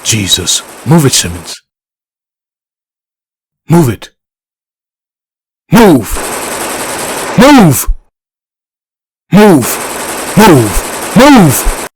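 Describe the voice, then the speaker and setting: A man playing a gruff, intense soldier antihero in a dramatic comic dub. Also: a little high, wildly swooping pitch, very slow, pauses often, a little hiss or hum, heavily acted. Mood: frantic shouting.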